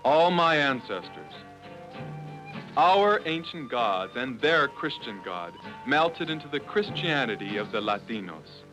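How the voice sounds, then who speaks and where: A man's voice: medium pitch, very animated, measured pace, rough recording. Earnest man narrating in the first person. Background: guitar music.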